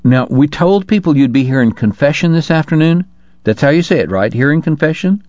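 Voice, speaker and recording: Man voicing a chatty folksy frontier deacon, raised pitch. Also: expressive, fairly quick, darkish tone, no room sound, lo-fi sound, lightly acted. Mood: chatty, eager. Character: chatty, obliging male frontier deacon and hotelier.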